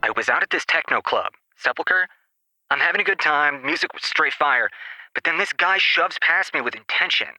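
A rattled, slangy clubgoer calling a radio show. His voice is high for a man and extremely bright and sharp, with a very dry sound. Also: expressive, normal speed, no room sound, poor audio. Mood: eager. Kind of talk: caller acting in audio drama.

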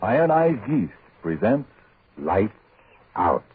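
A man announcing a 1940s radio show in a scripted, grave and deliberate style with an ominous manner. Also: on the low side, very animated, slightly rough, dry recording, narrow, tinny audio, soft background music, heavily acted. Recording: band-limited 1940s radio broadcast.

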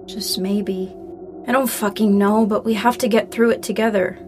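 A woman's voice: medium pitch, fairly even intonation, moderate pace, natural voice. Wounded, grieving daughter played by a woman, music behind. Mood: weary, resolute. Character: grieving daughter, wounded, angry yet willing to reconcile. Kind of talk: naturalistic audio drama dialogue.

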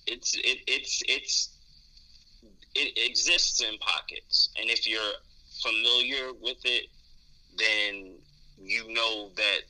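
A man's voice: fairly low pitch, fairly even intonation, slow pace, bright, forceful tone, poor audio. A man in candid podcast conversation, speaking thoughtfully and hesitantly, often repeating words as he explains. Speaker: podcast co-host discussing dating.

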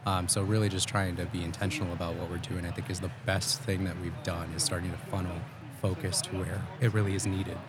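A man in a podcast interview, a guest who leads talent acquisition, explaining his views in a measured, earnest way. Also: low voice, level tone, loud music behind the voice. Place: live at a trade event booth.

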